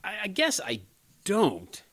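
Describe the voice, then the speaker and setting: An actor playing an earnest, inexperienced young crisis counselor in a radio play, hesitant and pleading. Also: male voice, sits high, huge pitch swings, slow pace, a bit bright, exaggerated voice. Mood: pleading, unsure. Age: 20s.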